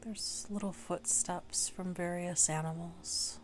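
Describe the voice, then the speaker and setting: A woman leading a guided meditation in a gentle, unhurried way, leaving long pauses between short phrases. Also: lowish voice, steady tone, soft background music. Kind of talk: slow guided meditation narration.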